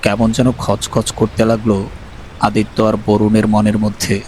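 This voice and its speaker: A man narrating an animated horror story with a measured, storytelling delivery. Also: fairly low pitch, a little hiss or hum.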